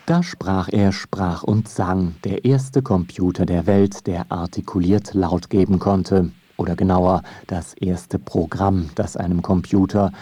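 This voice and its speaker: A man reading scripted radio documentary narration in a measured, deliberate way, close to a studio mic. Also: sits low, warm tone, husky, no room sound. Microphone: close studio microphone.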